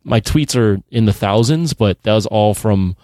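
A man in his thirties hosts a podcast in an easygoing, chatty way and laughs often. His voice sits on the low side, and the recording is dry, with no room echo. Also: moderate pace, warm tone. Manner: laid-back, laughs often. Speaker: podcast host. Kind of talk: casual chat.

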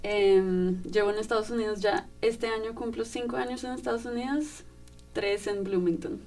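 A woman's voice: a little high, fairly even intonation, moderate pace, darkish tone, far from the mic. A young woman from Colombia, a graduate student speaking a little hesitantly as a radio guest. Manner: hesitant, many fillers.

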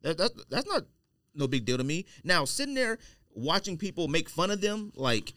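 An American man with a very animated, swinging pitch and a smooth, clear voice, recorded dry and close. He talks like a podcast host in casual debate, insisting on his point and repeating phrases for emphasis. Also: normal speed, no room sound. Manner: emphatic, argumentative, repeats himself. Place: indoor podcast studio.

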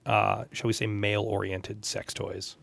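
A man co-hosting a casual interview podcast, speaking in measured phrases with dry humor. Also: fairly low pitch, level tone, creaky, fairly bright tone, slightly rough, dry recording. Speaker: podcast co-host.

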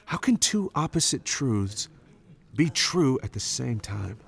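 A man's voice: mid-range voice, sing-song, slow pace, vocal fry, husky, full character voice. An actor playing a troubled, earnest betrothed man torn by conscience, hesitant and anguished, in a biblical audio drama. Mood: searching.

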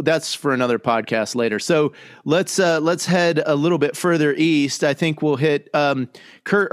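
A man's voice: mid-range voice, clean tone, dry recording, studio quality. A man hosting a podcast in a chatty, joking way, wandering into asides as he introduces his guests. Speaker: podcast host and chaplain. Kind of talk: casual podcast chat.

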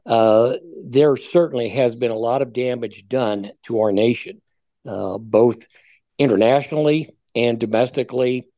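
An older American man talks as a guest commentator in a warm, mellow voice of medium pitch. He is conversational but halting, often stumbling and restarting. The sound is dry, without room echo. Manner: hesitant, halting delivery with many stumbles. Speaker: talk show guest commentator.

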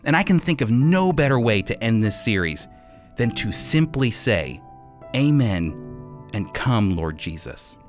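A man teaching as a radio host in a scripted, sermon-like style, with emphatic, deliberate phrasing. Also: medium pitch, big pitch swings, music in the background.

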